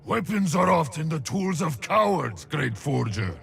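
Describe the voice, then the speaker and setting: A man playing a solemn, stern shaman warrior in a video game, with a gravelly voice and a slow, dramatic delivery. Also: a little high, big pitch swings, fairly bright tone, soft background music, heavily acted. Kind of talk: video game character voice lines.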